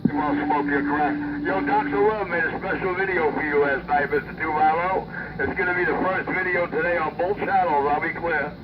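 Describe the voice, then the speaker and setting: A man chatting over CB radio, rambling and halting as he jokes back at the other operator. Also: on the high side, steady tone. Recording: muffled CB transmission with loud static.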